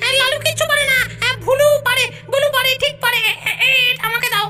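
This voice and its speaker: A performer voicing a childish, excitable cartoon spook with a whiny, stammering delivery. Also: very high-pitched, fast talker. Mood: eager, squabbling. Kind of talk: cartoon voice acting. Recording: music under the voice.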